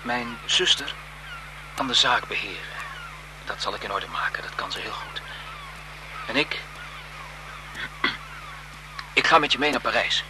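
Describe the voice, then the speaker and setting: An actor playing a lovesick, desperate photographer in a melodramatic, impassioned radio thriller style. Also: male voice, medium pitch, lively intonation, unhurried, long pauses, natural voice. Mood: earnest, devoted. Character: lovesick, desperate male photographer turning bitter and vengeful. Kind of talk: 1970s Dutch radio thriller acting. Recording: noisy 1970s radio drama broadcast.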